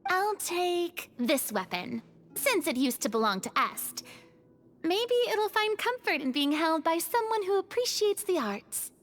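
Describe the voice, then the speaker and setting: Young game adventuress: high-pitched, forgiving, easygoing delivery over soft music. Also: female voice, very animated, a little slow, full character voice. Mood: kind, sincere. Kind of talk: video game character acting. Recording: music playing behind the voice.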